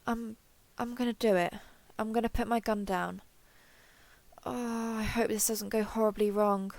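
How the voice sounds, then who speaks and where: A woman voicing an excitable, wary alien explorer, thinking aloud in a wry, hesitant internal monologue for an audio roleplay. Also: fairly high pitch, a little slow, vocal fry, no echo. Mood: nervous resolve. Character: excitable, wary female alien explorer with blue-grey skin. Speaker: voice actor.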